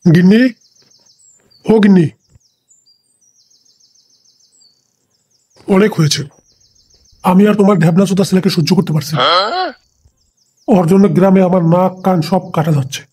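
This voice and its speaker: A man voicing an exasperated, foul-mouthed village father in a comic cartoon, with a husky voice. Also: high voice, steady tone, a little fast, long pauses, warm tone. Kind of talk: comic Bengali cartoon skit dialogue.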